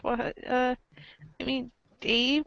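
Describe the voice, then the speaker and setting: A woman voicing an awkward, easily flustered alien troll teen in an amateur comic script reading, halting and stammering. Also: sits high, little pitch movement, vocal fry, fairly bright tone, lo-fi sound, heavily acted. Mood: hesitant. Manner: halting, stammering, full of ums.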